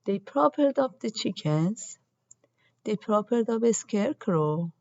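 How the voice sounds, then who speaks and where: Slow-paced woman reading a children's story. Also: medium pitch, lively intonation, darkish tone, muffled recording. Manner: deliberate, acts out characters' lines. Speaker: storybook reader. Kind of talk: expressive children's read-aloud narration.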